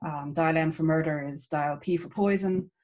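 A woman from Ireland with an Irish accent, an author chatting warmly about her writing as an interview guest. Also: deep voice, fairly even intonation, moderate pace. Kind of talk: casual interview chat.

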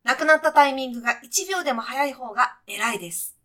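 A woman plays a brash, breezy heavenly guide in a comedy radio play. The voice is high and bright, with very lively swings in pitch. The talk is punchy and clipped, full of casual jock-style slang. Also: fast talker. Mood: breezy, matter-of-fact. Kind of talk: comic radio sketch acting.

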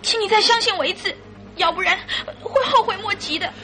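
An actress playing a desperate, fiercely protective wife in a radio drama, shrill and highly emotional. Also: female voice, a little fast, bright, forceful tone, faint music behind. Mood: pleading, tearful.

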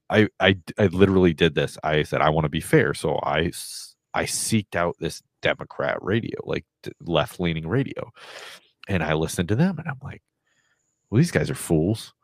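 A man's voice: low-pitched, no echo, studio quality. A man in his forties in casual podcast chat, rambling with lots of fillers and restarts. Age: 40s.

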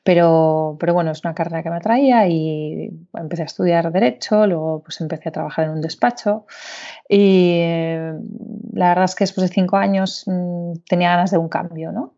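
A woman's voice: fairly low pitch, steady tone, normal speed, dark tone, highs cut off. A woman from Barcelona, a guest in an interview, talking chattily with many fillers and tag questions. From Barcelona, Spain. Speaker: guest, startup founder. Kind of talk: conversational interview.

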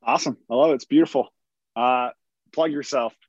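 A man's voice: medium pitch, brisk, darkish tone, no echo. A young man hosting a podcast, casual and enthusiastic, with lots of likes and fillers. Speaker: podcast host. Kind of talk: casual interview chat. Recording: dull, dry podcast audio.

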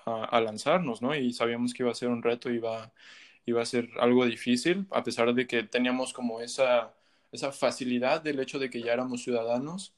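A man's voice: mid-range voice, fairly even intonation, fairly quick. A young man from Mexico with a Mexican Spanish accent, a guest musician telling his story in casual, slangy chat full of fillers. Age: young adult. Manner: rambling, slangy, frequent fillers.

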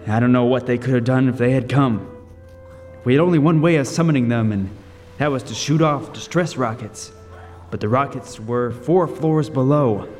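A man playing a haunted lighthouse keeper, telling his ordeal as radio drama narration with grim, suspenseful, deliberate delivery. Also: mid-range voice, a little mellow, lightly acted. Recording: echoing hall reverb. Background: music.

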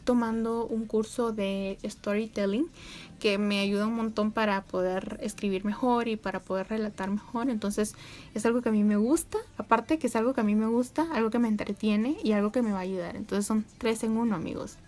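A woman's voice: mid-range voice, fairly even intonation, normal speed, darkish tone. A young woman, chatting casually and upbeat to her viewers at home. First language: Spanish. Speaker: vlogger. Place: home room with neighbors' animals outside.

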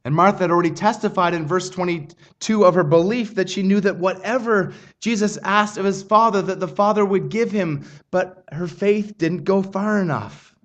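High-voiced man preaching a sermon. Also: highs cut off. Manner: earnest, measured preaching with frequent restarts. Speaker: pastor.

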